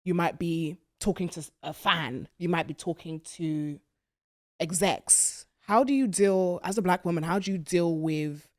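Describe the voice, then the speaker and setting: A woman's voice: on the low side, a little slow, a little mellow. A woman from the UK hosting a casual interview, candid and teasing. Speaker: podcast host.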